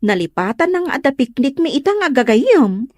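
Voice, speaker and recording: A woman's voice: sits high, big pitch swings, moderate pace, a little mellow, dry recording, studio quality. A woman playing a polite, nervous young friend in a comedy radio drama. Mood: polite, eager.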